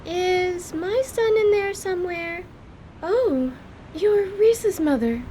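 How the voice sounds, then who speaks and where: A woman as a sweet, high-voiced halfling mother. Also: expressive, slow pace, dark tone, slight background noise, heavily acted. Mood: sweet, friendly. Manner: sweet, gracious. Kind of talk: audio drama acting.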